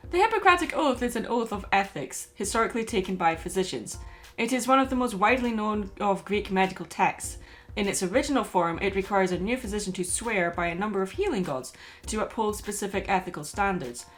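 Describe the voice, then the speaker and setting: Woman doing video essay commentary, medium pitch, bit of music behind her. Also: lively intonation, fairly quick.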